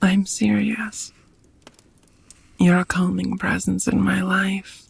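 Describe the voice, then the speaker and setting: A woman acts out a doting, languid vampire lover in an intimate ASMR roleplay. Her voice is very husky and breathy, slipping often into whispers, with a creaky edge. She speaks slowly and tenderly, with a touch of old-fashioned formality. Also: medium pitch, level tone, full character voice. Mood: earnest, fond. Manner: slow, intimate, slightly formal phrasing. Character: affectionate centuries-worn female vampire lover, languid and doting. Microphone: close microphone, dry.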